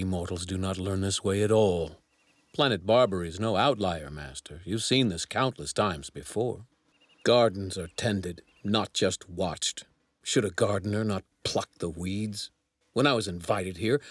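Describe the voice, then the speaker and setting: A haughty young god from an anime dub, low in pitch with a husky, breathy grain. His speech is formal and pompous, each word precisely placed with cold contempt. The sound is dry and close. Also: normal speed, no room sound. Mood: grave disdain. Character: haughty young divine apprentice, coldly contemptuous of mortals. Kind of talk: English anime dub acting.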